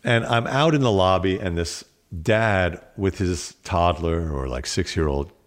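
A man's voice: sits low, measured pace. A man talking as an interview guest, reflective and wry in a conversational way. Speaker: guest, actor and standup comedian.

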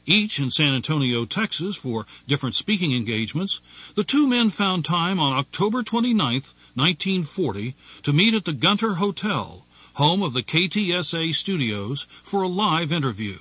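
A man narrates a scripted lead-in with a lively, swinging delivery. His voice is husky and a little high. The sound is dry and slightly dull. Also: moderate pace, dry recording.

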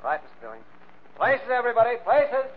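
Very high-pitched film-set crier. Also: male voice, huge pitch swings, brisk, full character voice. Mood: barking orders. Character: loud, officious film-set assistant calling orders. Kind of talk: 1940s radio drama acting. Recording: muffled old radio recording.

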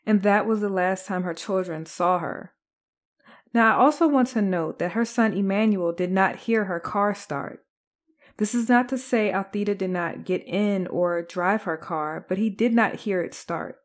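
A woman's voice: medium pitch, measured pace. A woman podcast host delivering scripted true crime narration.

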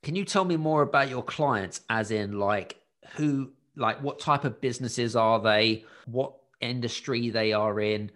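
A man's voice: medium pitch, unhurried, hardly any pauses, a bit bright. A man hosting a coaching session, upbeat, asking direct questions. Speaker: business coach and podcast host. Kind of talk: coaching conversation.

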